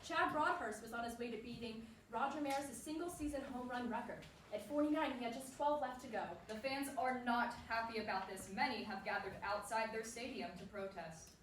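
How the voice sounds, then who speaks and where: A woman playing a polished, brisk sports broadcaster announcing news in a staged play reading. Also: on the high side, natural voice. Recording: roomy, distant, faint noise.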